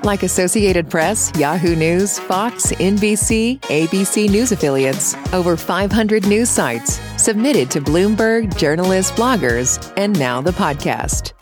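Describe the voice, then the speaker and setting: A woman's voice: on the low side, animated, measured pace, hardly any pauses. Woman reading a promo over music. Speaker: podcast intro announcer. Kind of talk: scripted promo read.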